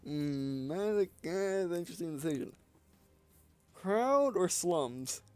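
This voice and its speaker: A man's voice: fairly high pitch, lively intonation, slow pace, clear, resonant tone, no echo, soft background music. A man giving casual let's play commentary, thinking aloud with some hesitation. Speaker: game player and commentator.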